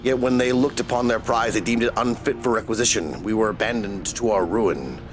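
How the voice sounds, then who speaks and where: A man's voice: lowish voice, natural voice. A man voicing an ancient, bereaved dragon from a fantasy game, speaking solemnly in archaic phrases over music. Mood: grave, resigned. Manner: slow, solemn, archaic storyteller delivery. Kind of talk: dramatic reading of video game dialogue.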